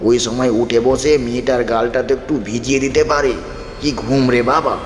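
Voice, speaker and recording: A man's voice: mid-range voice, steady tone, normal speed, lightly acted. A man voicing the ghost of a bossy, caring housewife who gives homely, scolding instructions in a horror story. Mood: fussy, instructing. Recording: roomy long reverb, music bed.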